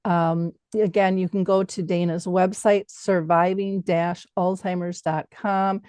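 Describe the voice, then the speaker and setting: A woman's voice: on the low side, level tone, measured pace, darkish tone, clear, resonant tone, no echo. A woman talking conversationally as the host of an interview show.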